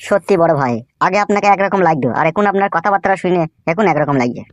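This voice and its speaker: A man's voice: sits high, expressive, fast talker, no room sound, studio quality. A man voicing a young, deferential gangster henchman in a comedy cartoon, plaintive. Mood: earnest. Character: young male gangster underling, deferential and whining.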